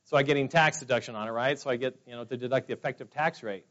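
A man's voice: medium pitch, very fast, fairly bright tone. A man in his forties from California, telling personal stories to a meeting in a rambling, chatty way full of fillers. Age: 40s. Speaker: speaker at a business meeting. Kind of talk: casual personal testimony to a room. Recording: dull lo-fi sound.

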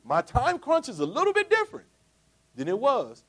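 A man in his forties giving a motivational sales talk to a live audience. He is jokey and asks the crowd rhetorical questions, swinging between loud punchy lines and quiet asides. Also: very high voice, huge pitch swings, normal speed. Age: 40s. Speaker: speaker pitching a business opportunity.

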